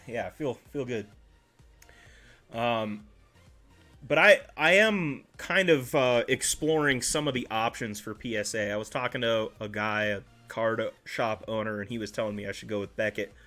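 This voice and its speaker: A man's voice: mid-range voice, measured pace, bright, forceful tone. Casting note: male livestream host, a bit congested and nasal, rambling, faint music underneath. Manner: chatty, rambling, with self-corrections. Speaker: card-collecting livestream host. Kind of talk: casual livestream chat with viewers.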